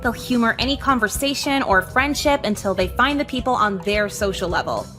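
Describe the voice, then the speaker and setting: A woman playing a sarcastic, contemptuous teenage girl in a visual novel game, with a deadpan, cutting delivery. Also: a little high, brisk, natural voice. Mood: cold contempt. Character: sardonic teenage girl, contemptuous new kid at school. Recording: music behind the voice. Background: game music.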